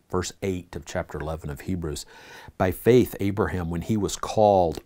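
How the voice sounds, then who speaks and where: Low, mellow-voiced man teaching Bible class. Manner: earnest, asks the class questions, reads scripture aloud. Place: church classroom.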